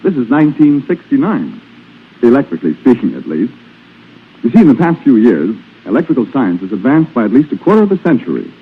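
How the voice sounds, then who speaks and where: A man's voice: medium pitch, sing-song, a little fast, narrow, tinny audio, a little hiss or hum. A man reading a scripted sponsor message as a commercial announcer on 1940s radio. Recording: thin, band-limited 1940s radio broadcast.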